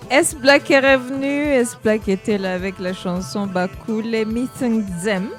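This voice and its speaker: A woman's voice: on the high side, a little slow, faint music behind. A woman co-hosting a radio studio interview, asking questions and mixing French with Swahili. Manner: brief acknowledgments, mixes French and Swahili. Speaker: radio co-host.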